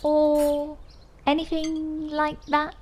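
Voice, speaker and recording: A woman playing an easily impressed, gently teasing house guest in an audio drama, speaking in a light, conversational way. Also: high-pitched, completely flat delivery, extremely slow. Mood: light doubt. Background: music.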